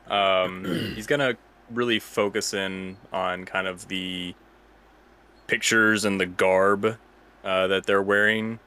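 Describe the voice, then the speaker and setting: A man's voice: deep voice, pushed and bright, slight background noise. A man playing a tabletop game, describing his character's actions while feeling for words.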